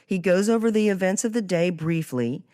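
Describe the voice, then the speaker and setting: A woman's voice: lowish voice, animated, moderate pace, mellow, no room sound, studio quality. A woman hosting a podcast interview, talking conversationally about a book and faith. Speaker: podcast host.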